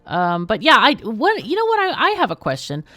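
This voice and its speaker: A woman's voice: on the high side, huge pitch swings, fairly quick, a bit bright, dry recording. A woman hosting a livestream, chatting casually as she plays a game. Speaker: livestream host. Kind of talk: casual live game chat.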